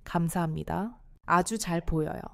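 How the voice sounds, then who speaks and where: A woman's voice: fairly low pitch, normal speed, creaky, no echo, clean studio sound. A woman voicing a polite, plain-spoken repairman in his late fifties for an audio drama. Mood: polite, calm.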